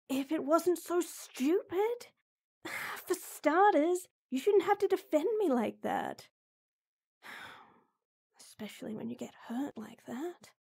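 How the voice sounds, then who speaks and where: A woman playing a gruff but caring tomboy best friend in an intimate audio roleplay, blunt and exasperated, with frequent sighs. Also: high-pitched, a little mellow, heavily acted.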